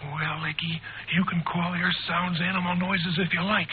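Actor as high-voiced eager radio youth. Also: male voice, little pitch movement, moderate pace, natural voice. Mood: earnest. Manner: eager, polite. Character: earnest, respectful youth of the hero's squadron. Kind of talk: 1940s radio serial acting. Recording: tinny, hissy old radio broadcast.

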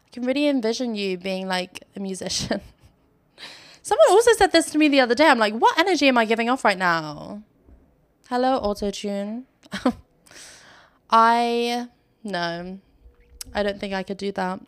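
A woman's voice: mid-range voice, clear, resonant tone, no echo. Young woman rambling on her solo podcast, laughs a lot, pokes fun at herself, dry close sound. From Philippines. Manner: chatty, self-mocking, laughs often. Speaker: podcast host. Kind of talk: casual solo podcast chat. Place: on her sofa at home. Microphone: phone.

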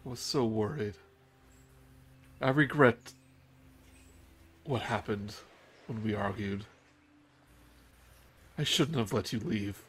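A man's voice: fairly low pitch, breathy edge. A man as a solemn, mighty female dragon. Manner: measured, solemn, gracious. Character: powerful female dragon leader, calm and grave.

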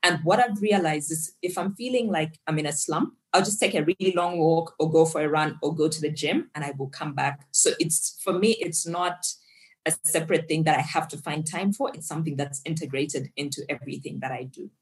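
A woman's voice: low-pitched, a little fast. A woman from Zambia, a guest on an interview podcast, talking casually and upbeat with frequent laughter. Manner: upbeat, laughs often, animated when storytelling. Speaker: podcast guest, tech executive and fitness coach.

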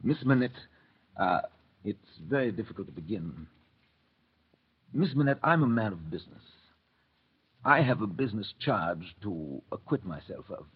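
An actor playing a reserved, businesslike English banker and narrator in an old radio drama, with a measured, formal way of talking. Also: male voice, lowish voice, big pitch swings, slightly rough, exaggerated voice. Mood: formal, hesitant. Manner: measured, formal, hesitant at delicate news. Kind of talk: 1930s radio acting. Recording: dull, noisy old radio recording.

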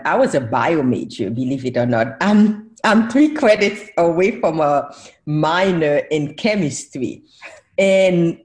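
A woman's voice: on the low side, mellow. A woman in her forties, born in Haiti, speaking as a professor guest on a casual podcast, who laughs often and corrects herself as she goes.

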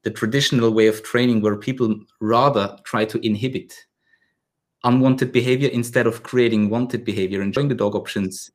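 Hesitant German podcast host, medium-pitched. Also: male voice, fairly even intonation, fairly quick. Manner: hesitant, searching for words, repeats sentence starts. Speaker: podcast host, dog trainer. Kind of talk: casual interview.

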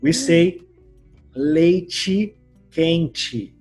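Husky Brazilian man, animated podcast host. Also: very slow, faint music behind. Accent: Brazilian Portuguese. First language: Portuguese. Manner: animated, jumps up in pitch and loudness when excited. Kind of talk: casual interview chat.

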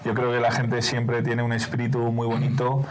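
A man's voice: medium pitch, completely flat delivery, normal speed, slight background noise, low-quality recording. A man with a Spanish accent, a company director speaking as a guest on a sales podcast, explaining his methods step by step. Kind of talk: podcast interview.

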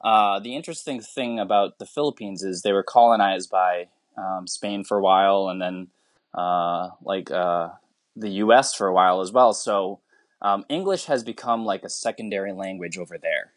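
A man, a teacher from the United States, talking as a guest in a relaxed interview. He is earnest and rambling, restarting his sentences often, and his voice sits fairly low. The sound is dry and close. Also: moderate pace, dry recording. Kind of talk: casual conversational interview.

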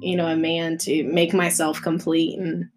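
A woman, co-hosting a faith podcast in casual chat, earnest and halting with many restarts. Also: deep voice, flat delivery, music in the background. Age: 20s. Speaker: podcast co-host.

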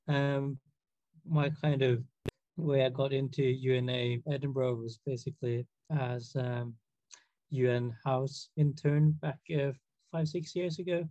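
A man from Norway introducing himself in a halting way with many fillers. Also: mid-range voice, level tone, warm tone, no room sound, dull audio. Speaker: branch officer.

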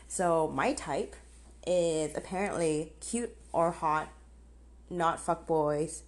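A young woman hosts a casual solo podcast in a voice that sits a little low. She talks candidly and informally, as if chatting to friends. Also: takes it slowly. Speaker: podcast host. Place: outdoors on a patio.

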